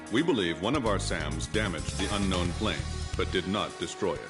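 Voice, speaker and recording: An actor playing a sober, precise military officer reading out a formal briefing in a video game. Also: male voice, low voice.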